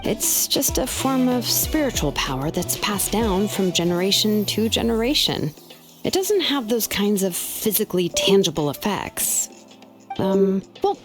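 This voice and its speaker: Actress doing a chill young craftswoman from a game, matter of fact but lively intonation, faint music behind. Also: mid-range voice, lightly acted. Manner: explains at length, matter-of-fact. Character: laid-back young female artisan, thoughtful, a little weary. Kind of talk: video game character dialogue.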